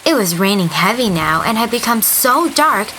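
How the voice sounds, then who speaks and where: A woman storyteller reading a scripted children's tale expressively, with deliberate dramatic emphasis. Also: on the high side, big pitch swings, pushed and bright, a little hiss or hum, poor audio.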